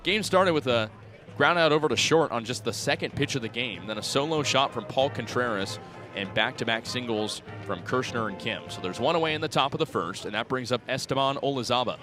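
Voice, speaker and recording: A man in his twenties calling baseball play-by-play for radio in crisp, clipped phrases that lift on the action. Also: mid-range voice, expressive, a little fast, sharp tone, soft background music. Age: 20s. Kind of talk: live radio sports commentary. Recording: outdoor ballpark crowd behind voice. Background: ballpark crowd.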